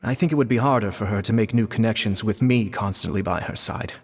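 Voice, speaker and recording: A man voicing a calm, courteous noble brother. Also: on the low side, fast, natural voice. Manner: calm, formal and polite. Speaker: older brother, diplomat.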